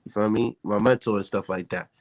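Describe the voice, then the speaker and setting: A man's voice: on the low side, fairly even intonation, a little fast, dry recording. An American man, a rapper, talking casually as a podcast guest with slangy speech and frequent check-in tags. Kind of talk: casual interview chat.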